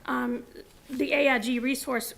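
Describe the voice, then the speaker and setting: A woman presenting to a school board, explaining with fillers, her voice going hoarse. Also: high-pitched, steady tone, a little slow, a bit bright. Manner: informal, with fillers and explanatory asides. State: losing her voice.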